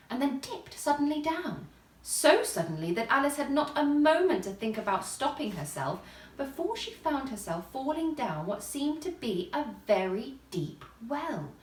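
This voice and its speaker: Animated woman storyteller in an echoey room. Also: on the high side. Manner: theatrical, deliberate storytelling. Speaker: storybook reader. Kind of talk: expressive scripted narration of a children's story. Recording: roomy echo, distant microphone.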